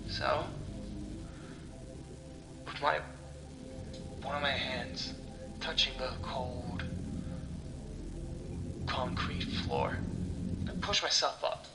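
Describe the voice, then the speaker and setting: A man narrating a first-person horror story dramatically, with halting delivery, pauses and breathy asides. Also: medium pitch, very animated. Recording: echoey sound with music underneath. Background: music.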